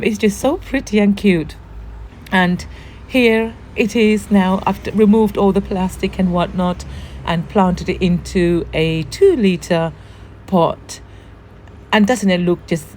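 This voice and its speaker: A woman with a hoarse, worn voice from the flu, chatting casually and warmly as host of a gardening vlog, with frequent ums. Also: on the low side, slight background noise. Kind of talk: casual show-and-tell vlog.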